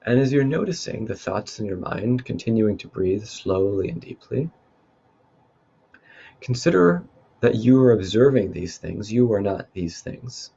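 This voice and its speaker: A man leading a guided meditation in a calm, unhurried, instructive way. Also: on the low side, creaky, husky, muffled recording. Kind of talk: guided meditation, spoken softly to listeners.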